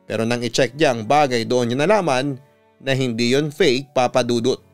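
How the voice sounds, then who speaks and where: A man's voice: medium pitch, fairly even intonation, normal speed. A Filipino man who works as a radio announcer, reading out a listener's story as dramatic scripted narration. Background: music behind the voice.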